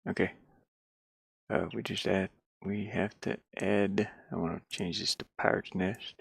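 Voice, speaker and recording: A man with a fairly low, gravelly voice talks himself through a game-building task. He goes very slowly, muttering in short, casual fragments. The sound is dry, with little room around it. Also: little pitch movement, dry recording. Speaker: hobby game developer sharing a work session. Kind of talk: casual think-aloud screen commentary while working.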